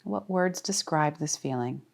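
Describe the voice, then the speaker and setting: A woman leading a guided relaxation as a yoga teacher, speaking calmly and soothingly with deliberate pauses. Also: low voice, vocal fry. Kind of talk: guided meditation.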